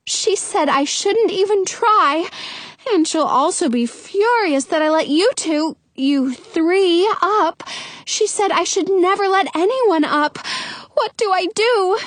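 A woman's voice: extremely high pitch, lively intonation, takes it slowly, fairly bright tone, dry recording, pitched above the performer's own voice, exaggerated voice. A woman voicing a frightened, naive fairy-tale girl, pleading and hesitant.

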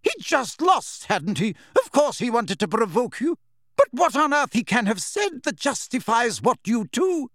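A man's voice: very high voice, sing-song, measured pace, a bit bright, no echo, studio quality, voice pushed up, full character voice. A man voicing a strict, imperious older female schoolteacher in an audiobook, clipped, indignant and emphatic. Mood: livid.

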